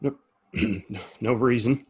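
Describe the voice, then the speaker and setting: A husky-voiced saloon keeper from an Old West audio drama, acted by a man. He is polite and uneasy, stumbling over his words as he tries to sound natural. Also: medium pitch, takes it slowly. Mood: nervous, evasive. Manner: hesitant, stammering. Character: nervous, deferential small-town saloon barkeep. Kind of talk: Western audio play acting.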